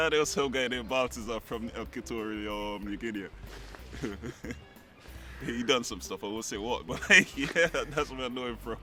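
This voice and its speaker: Slow, bright-voiced man joking casually. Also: mid-range voice, faint music behind. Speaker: documentary interviewee.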